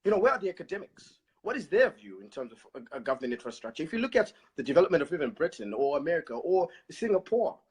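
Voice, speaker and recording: Lively husky-voiced Zimbabwean man. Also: on the high side. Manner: measured, thoughtful, hesitant with fillers. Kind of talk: casual political discussion.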